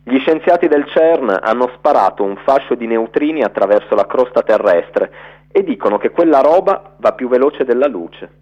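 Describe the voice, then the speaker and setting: A man giving a theatrical, storytelling reading of a literary letter for radio, in an expressive narrator's delivery. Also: medium pitch, moderate pace, highs cut off.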